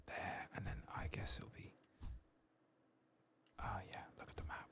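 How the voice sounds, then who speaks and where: A man doing soft-spoken, often whispered ASMR game commentary, murmuring his thoughts aloud without hurry. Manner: murmured, unhurried thinking aloud. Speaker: host of an ASMR gaming playthrough. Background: video game ambience.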